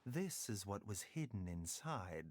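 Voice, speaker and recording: A man voicing a gentle, enigmatic doctor in a video game, soft-spoken and smooth with a teasing edge. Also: on the low side, very animated, a little slow, a little hiss or hum. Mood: explaining. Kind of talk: game voice acting.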